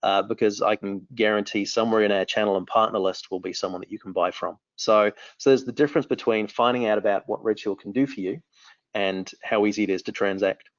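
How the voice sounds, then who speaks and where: A man's voice: lowish voice, steady tone, a little fast, dry recording. Enthusiastic New Zealand man, dry muffled audio. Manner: enthusiastic, rambling with frequent restarts. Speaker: company founder. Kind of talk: remote interview answers.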